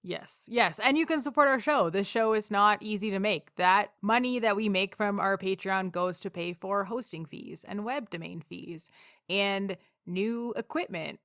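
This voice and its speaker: A woman's voice: mid-range voice, fairly bright tone, no echo, studio quality. A woman with a Canadian accent hosting a podcast, friendly and dryly joking. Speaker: podcast host. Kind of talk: casual podcast chat.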